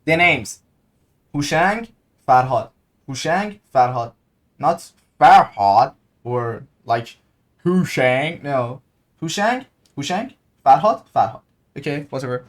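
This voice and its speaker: A man's voice: medium pitch, big pitch swings, takes it slowly. A man commenting casually on gameplay, hesitant and repeating words as he thinks aloud. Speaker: gaming streamer.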